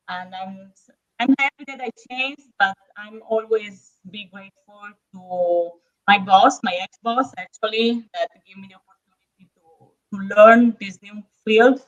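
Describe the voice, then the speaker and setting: A woman's voice: fairly high pitch, a little slow, fairly bright tone, dull audio. A woman from northern Italy with an Italian accent, speaking English as a second language, chatting as a podcast guest with hesitant fillers and restarts. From Verona, northern Italy. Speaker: guest realtor. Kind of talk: casual interview chat.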